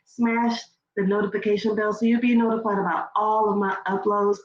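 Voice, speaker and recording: A woman's voice: mid-range voice, little pitch movement, a little fast. A woman with a stuffy nose, rambling casually as she recaps a reality TV show for her channel. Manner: chatty, rambling with fillers and restarts. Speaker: YouTube reaction host. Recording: echoey room, dull lo-fi sound, faint noise.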